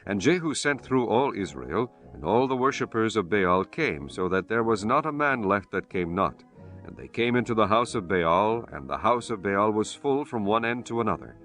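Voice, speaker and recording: A man with a fairly low voice narrates scripture for an audiobook. His delivery is solemn, formal and measured, with faint music underneath. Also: big pitch swings, a bit bright.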